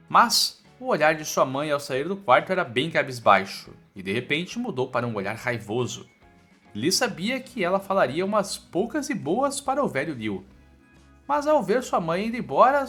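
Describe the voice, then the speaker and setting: Bright, animated Brazilian man narrating a story. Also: fairly high pitch. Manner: colloquial, slangy storytelling with asides to viewers. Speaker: manhwa recap narrator. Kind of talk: informal scripted story narration. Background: background music.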